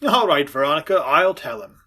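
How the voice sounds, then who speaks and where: A man's voice: fairly high pitch, animated, brisk, pushed and bright. A man playing a harried, henpecked middle-aged father in 1940s-style radio comedy, stammering and easily exasperated. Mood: polite, muddled. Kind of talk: 1940s radio sitcom acting.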